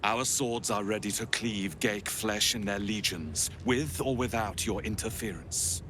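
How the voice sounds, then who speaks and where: An actor playing an imperious, devout warrior inquisitor in a video game, speaking formally with cold courtesy and menace. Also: male voice, on the low side, noisy background, lightly acted. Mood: cold, commanding. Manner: formal, measured, commanding. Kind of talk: video game cutscene acting.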